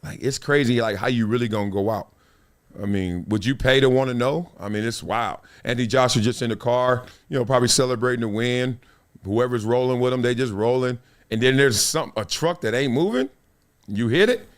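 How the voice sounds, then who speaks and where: A middle-aged man hosting a casual livestream, reading viewer comments and news aloud in a playful, animated way. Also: medium pitch, a little fast. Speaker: show host. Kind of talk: casual live-stream commentary.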